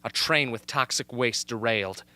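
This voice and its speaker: A man's voice: medium pitch, moderate pace, bright, forceful tone, dry recording. A man playing an earnest, strained young captive pleading urgently in a radio drama. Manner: urgent, emphatic, insistent. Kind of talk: amateur radio drama acting.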